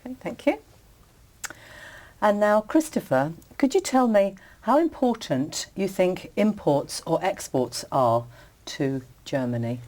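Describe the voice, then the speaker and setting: Woman, examiner, polite, measured questions, lively pitch. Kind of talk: conducting a speaking exam.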